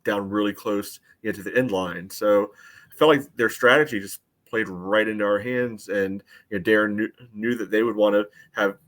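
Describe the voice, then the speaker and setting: A fairly low-pitched man co-hosts a sports podcast. He explains soccer tactics in casual talk, qualifying his points with asides as he goes. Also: fairly even intonation, moderate pace, a bit bright.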